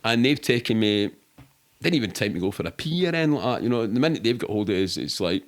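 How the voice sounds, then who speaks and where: A man's voice: fairly low pitch, sing-song, quick pace. A middle-aged man with a Glasgow-area Scottish accent telling anecdotes casually as a podcast guest, sweary and colloquial, acting out what people said. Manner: sweary, colloquial, acts out quoted speech. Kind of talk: animated anecdotal storytelling, casual.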